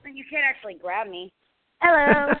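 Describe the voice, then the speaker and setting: A woman's voice: sits high, expressive, normal speed, no echo. A woman from Cincinnati, Ohio, chatting playfully as a guest on a fan podcast. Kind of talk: casual chat over a call. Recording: tinny phone-like line.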